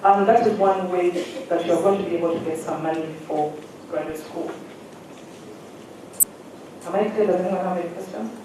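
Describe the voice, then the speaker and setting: An adviser speaks to students from a distance across a very echoey hall, with a mellow, warm voice. The delivery is direct and instructive, with frequent checks on the room. A faint hum sits underneath. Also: mid-range voice, fairly even intonation, normal speed, pauses often. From Uganda. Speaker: education adviser. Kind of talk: advice presentation to students. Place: large hall at a careers fair.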